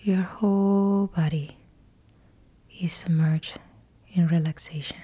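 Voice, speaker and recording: Woman, meditation guide, whispered delivery, very slow and low, close to the mic. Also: steady tone, dark tone, slightly rough. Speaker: yoga nidra guide.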